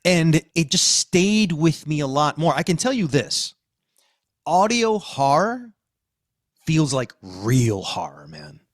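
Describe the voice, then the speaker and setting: A man's voice: fairly high pitch, sing-song, slow pace, dry recording, clean studio sound. A man hosting a podcast in casual conversation, speaking informally and with emphasis, using plenty of slang. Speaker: podcast host. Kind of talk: casual chat.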